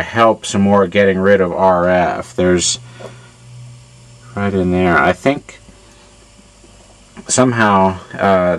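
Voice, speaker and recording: A man giving an informal hands-on technical demonstration in a halting, matter-of-fact way, as a repair hobbyist. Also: deep voice, poor audio. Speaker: amplifier repair hobbyist demonstrating his work.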